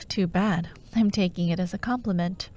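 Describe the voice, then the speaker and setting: A woman playing a sardonic female fantasy villain who haunts dreams, in a breathy, often whispered ASMR roleplay with a wry, taunting manner. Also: mid-range voice, a little mellow, close to an everyday voice. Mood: cool, condescending. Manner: wry, taunting, theatrical, unhurried.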